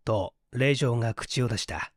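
A man's voice: medium pitch, a little slow, no room sound. Dry, close-sounding male audiobook narrator. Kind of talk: scripted story narration, reading aloud.

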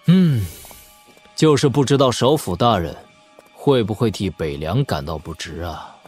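An actor playing a shrewd, sardonic young lord in a martial-arts audio drama, expounding wryly at length. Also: male voice, on the low side, expressive, measured pace, darkish tone, slightly rough. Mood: bitter. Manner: wry, expounding at length, with snorts and sighs. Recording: music behind. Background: background music.

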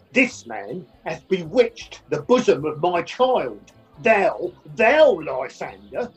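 A woman's voice: fairly low pitch, muffled recording. A woman acting an indignant, stern parent in a Shakespearean radio play, declaiming emphatically. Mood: vexed, formal. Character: vexed, stern parent demanding obedience from a daughter.

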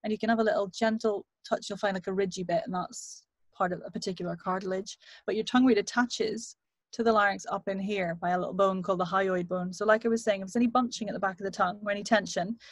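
Lively British woman coaching voice. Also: mid-range voice, quick pace, no echo. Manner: lively, demonstrative. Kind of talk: teaching vocal exercises. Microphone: studio mic on video call.

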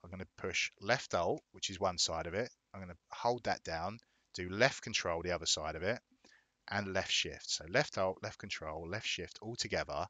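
Guy doing a chill how-to voiceover, deep voice, plain talker, really dry sound. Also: normal speed, pushed and bright, no echo, muffled recording. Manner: plain-spoken, explanatory, step by step. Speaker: video tutorial presenter. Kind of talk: casual software tutorial narration.

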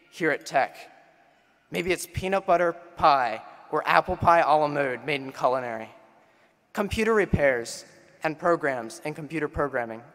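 A man's voice: fairly high pitch, a bit bright. A young man from Pennsylvania, class president, giving a rousing formal commencement speech into a podium microphone on a large auditorium stage. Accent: Pennsylvania American. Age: young adult. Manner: rousing, builds to call-and-response refrains. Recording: long hall reverb.

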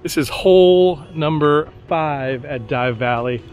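A man's voice: fairly high pitch, slow pace, darkish tone, faint music behind. A man filming a golf vlog outdoors, chatting enthusiastically about the holes and shots. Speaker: golf vlogger. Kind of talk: casual on-course commentary.

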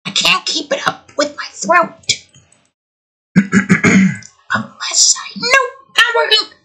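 A squeaky, strained falsetto cat voice, bouncing wildly up and down. It sounds pinched and throat-clenched but bright and playful. It is clearly a cartoonish put-on character. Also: male voice, expressive, slow, exaggerated voice, poor audio. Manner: playful, self-mocking.

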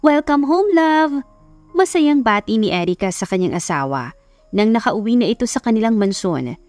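A woman's voice: mid-range voice, very animated, brisk, darkish tone, no room sound, faint music behind. A woman narrating a scripted romance story as an audiobook narrator.

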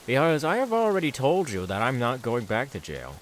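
A man playing an unhinged, menacing, mocking serial killer in a theatrical ASMR horror audio roleplay, volatile between hushed and manic. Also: mid-range voice, huge pitch swings, a little fast, slight background noise. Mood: smug.

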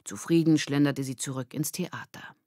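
A woman's voice: rumbling low, moderate pace, mellow, no room sound, clean studio sound. A woman narrating a scripted children's story as an audiobook reader.

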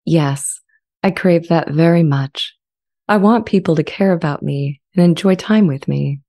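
A woman playing a gentle, earnest artificial intelligence in a dramatized reading, speaking in measured, articulate phrases. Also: deep voice. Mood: earnest longing. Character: sentient AI language model, earnest, gentle, eager to be accepted. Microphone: close studio microphone with pop shield.

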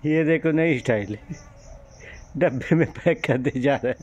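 A man's voice: mid-range voice, measured pace, darkish tone, highs cut off, a little hiss or hum. A man filming a child outdoors in a rural courtyard, narrating casually and cheering him on with laughs. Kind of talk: casual home-video commentary. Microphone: phone camera held by the filmer.

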